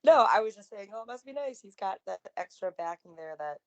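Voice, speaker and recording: A woman with a slightly raspy voice, co-hosting a wrestling podcast in enthusiastic, chatty conversation. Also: mid-range voice, animated, brisk, muffled recording. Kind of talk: casual wrestling recap chat.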